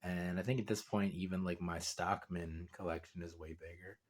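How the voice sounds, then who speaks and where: Man, deep voice, congested with a cold, easygoing rambling collector's review. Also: moderate pace. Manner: relaxed, rambling, many fillers. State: stuffed up with a cold. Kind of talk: casual product review.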